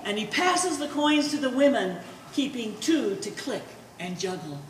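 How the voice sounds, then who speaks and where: A woman's voice: on the high side, big pitch swings, a little slow, recorded from a distance. A woman reading stage directions as scripted narration at a live play reading. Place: art gallery performance space. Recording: echoey hall from afar, faint noise.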